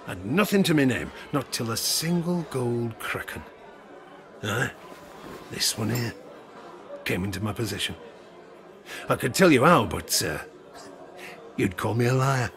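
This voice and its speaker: An actor playing a rueful, ruined old harpooner and gambler with a British accent, spinning a folksy, gravelly-voiced yarn in an audio drama. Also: male voice, medium pitch, expressive, measured pace, pauses often. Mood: rueful, wry. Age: older. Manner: folksy, rustic, rambling tavern yarn-spinning. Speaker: storyteller. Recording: studio drama with music behind. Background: music.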